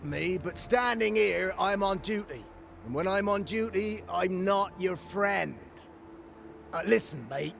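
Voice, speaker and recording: Gravelly, high-pitched dutiful fort guard. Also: male voice, a bit bright, slight background noise, exaggerated voice. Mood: firm, wry. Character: wry, dutiful male fort guard on duty. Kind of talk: video game cutscene acting.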